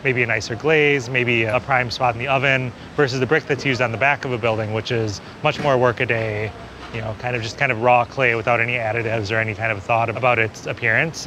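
A man in his forties, an architect, giving an on-camera walking tour outdoors, explaining buildings in a conversational way with many small hedges. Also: medium pitch. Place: outdoors on a city street. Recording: city street noise behind.

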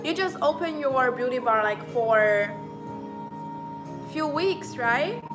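A woman's voice: high-pitched, animated, slow pace, over music. A young woman with a Chinese accent hosting a live stream in a beauty showroom, chatting to viewers and repeating her words. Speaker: live stream host selling beauty machines. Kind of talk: live stream chat reading viewer comments. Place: indoor showroom with beauty machines.

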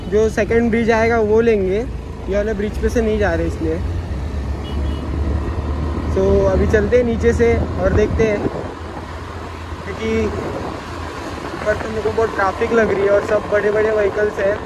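A young man talks through a moto vlog in a high, light voice, rambling in a chatty way full of fillers. He is outdoors on a moving motorbike. Loud traffic and wind noise roar behind him from a phone stuck to his helmet. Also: steady tone, normal speed, long pauses. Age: young adult. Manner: chatty, filler-heavy, talking while riding. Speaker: vlogger. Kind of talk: casual moto vlog commentary. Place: outdoors on a motorbike on dusty roads, then a seaside spot.

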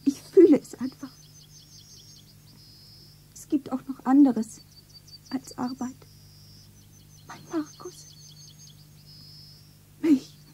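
Eine Schauspielerin spielt in einem Hörspiel eine junge, naive Verkäuferin, zärtlich und sentimental verliebt, mit weicher Stimme. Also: female voice, high-pitched, slow pace, pauses often, mellow, a little hiss or hum. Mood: wistful. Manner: naive, sentimental, self-narrating. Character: slender young shopgirl, tender, wistfully devoted lover. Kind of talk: German radio play acting.

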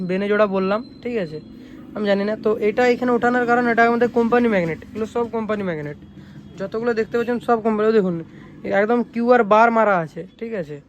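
Male shopkeeper vlogger, high register, rapid informal sales patter with repeated check-ins, slightly noisy recording. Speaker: speaker repair shop owner presenting products. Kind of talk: casual product demonstration vlog. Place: small electronics repair shop.

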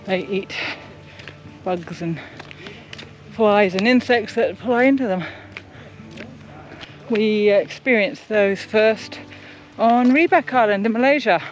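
A woman's voice: medium pitch, slow pace, soft background music, rough recording. A middle-aged woman with a New Zealand accent, chatting to a handheld camera while hiking a forest trail. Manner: warm, chatty, points things out to the camera. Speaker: sailing vlogger. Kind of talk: casual vlog narration while hiking. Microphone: handheld camera at arm's length.

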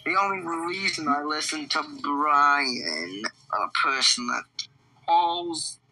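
A man's voice: on the high side, lively intonation, slow pace, bright, forceful tone, poor audio. A man playing a menacing, taunting monster villain in an improvised video game roleplay. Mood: defensive. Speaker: roleplay video creator voicing characters.

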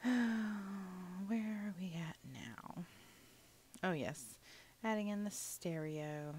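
Low-voiced, chatty young woman. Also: lively intonation, very slow, darkish tone, a little hiss or hum. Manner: rambling, chatty, many fillers. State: a little sniffly. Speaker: gaming channel host. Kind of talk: casual voiceover commentary on a building game.

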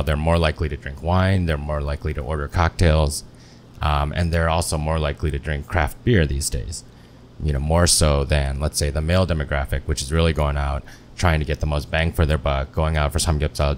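A man on a podcast panel, discussing things casually and analytically into a close microphone in a bar lounge. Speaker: podcast panelist, business owner. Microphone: close podcast microphone on stand. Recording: low rumble and faint room noise.

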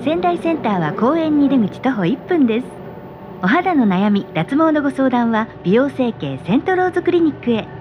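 A woman with a high, lilting voice reads polite scripted notices for a subway's in-car system. Clear train noise runs around her words. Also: sing-song, moderate pace, muffled recording. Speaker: subway advertisement announcer. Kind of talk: scripted transit announcements and sponsor notices. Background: running subway train noise.

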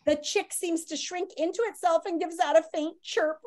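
A woman's voice: extremely high pitch, steady tone, moderate pace. A woman reads a children's nonfiction book aloud like a teacher, expressively and dramatically, with asides and questions to her listeners. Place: small room at home.

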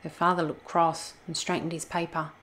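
A woman reading a children's storybook aloud as host, in an expressive style. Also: deep voice, normal speed. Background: soft music.

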